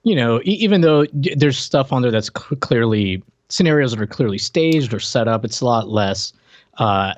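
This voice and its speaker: A man's voice: mid-range voice, lively intonation, normal speed. A man chatting casually as a podcast host, with a joking, teasing manner. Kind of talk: casual chat.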